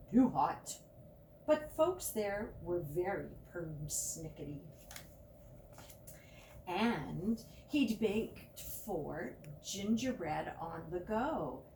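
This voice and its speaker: A woman reading a children's picture book aloud at a library storytime, with drawn-out emphasis and chatty asides. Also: on the low side, expressive. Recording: roomy, distant mic with faint noise.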